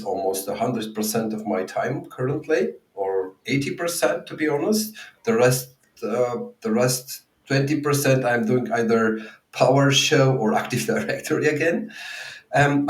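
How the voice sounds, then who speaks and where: A man's voice: medium pitch, normal speed. A man from Bulgaria co-hosting a podcast, chatting casually about tech with lots of fillers and restarts. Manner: hesitant, many fillers and restarts. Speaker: podcast co-host. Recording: roomy, distant from the mic.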